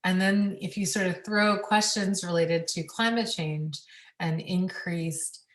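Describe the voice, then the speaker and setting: A woman speaking on a panel about food systems, thoughtful and full of pauses and ums. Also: on the low side, steady tone, echoey room, recorded from a distance. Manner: thoughtful, many ums and restarts. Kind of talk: webinar panel talk.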